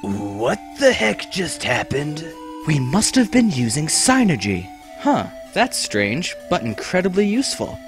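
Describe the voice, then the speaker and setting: A man's voice: fairly high pitch, huge pitch swings, measured pace, soft background music, heavily acted. A man voicing a glib young adventurer with a sarcastic, theatrical delivery in an abridged cartoon parody. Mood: puzzled, sarcastic.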